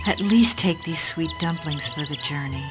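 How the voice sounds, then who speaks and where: An actress playing a doting mother who gently gives her grown son advice in a game. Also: low voice, moderate pace, music in the background, natural voice. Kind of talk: game voice acting.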